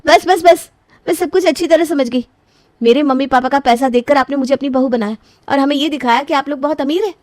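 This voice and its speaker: A scheming young bride bursts into frantic self-talk and sharp outrage, as a woman acts her out. The voice sits fairly high, and the recording is dry and close. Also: a little fast, no room sound, natural voice. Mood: furious. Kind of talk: animated cartoon voice acting.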